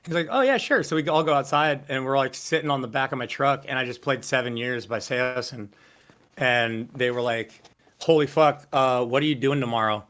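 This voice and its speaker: A man with a low voice casually telling stories in an interview, rambling with lots of fillers and restarts. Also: normal speed, a bit bright. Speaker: singer interviewee. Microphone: video call.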